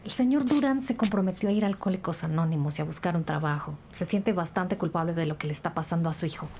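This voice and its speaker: Una mujer como trabajadora social franca, con ruido ambiente. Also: female voice, fairly low pitch, quick pace, a little mellow, slight background noise, lightly acted. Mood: earnest, frank. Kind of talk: dramatized audio crime story.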